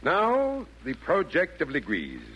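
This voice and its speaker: An actor playing a wry, pompous Devil chairing a meeting, in theatrical 1940s radio comedy style. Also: male voice, a little high, wildly swooping pitch, takes it slowly, background noise, exaggerated voice. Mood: commanding. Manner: theatrical, formal rhyming speech with mock hesitation. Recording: old 1940s radio broadcast, slightly muffled.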